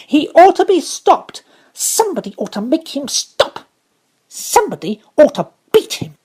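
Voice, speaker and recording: A woman voicing a savage, bossy little girl who shouts and stamps as she scolds. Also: sits high, big pitch swings, measured pace, pitched above the performer's own voice. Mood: furious, scolding.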